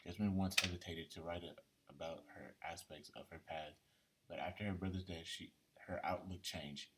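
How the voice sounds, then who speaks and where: A man reading a biography aloud, somewhat haltingly, with small stumbles over the text. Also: deep voice, slight background noise, rough recording.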